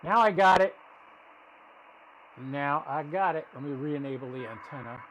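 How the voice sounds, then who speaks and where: A man's voice: on the high side, measured pace, fairly bright tone, slight background noise, poor audio. A man giving casual live commentary as a radio stream host, thinking aloud and explaining as he goes, often restarting his sentences. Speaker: ham radio livestream host.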